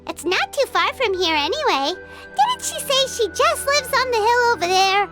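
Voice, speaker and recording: Game fairy sidekick: extremely high, squeaky little girl, animated and eager, over prominent music. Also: normal speed. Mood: chatty. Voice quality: squeaky, childlike. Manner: exclamatory, expressive, eager. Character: tiny cheerful childlike fairy girl companion, eager and talkative. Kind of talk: video game character acting. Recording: music behind the voice.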